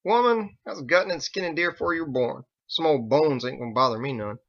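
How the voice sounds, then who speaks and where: A man's voice: on the high side, expressive, a little fast, gravelly, fairly bright tone, dull audio, pitched above the performer's own voice, full character voice. A man voicing an older, folksy hunter in an audiobook, blunt and rustic in his talk. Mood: dismissive. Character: gruff older male hunter, folksy and unbothered. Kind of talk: audiobook character acting.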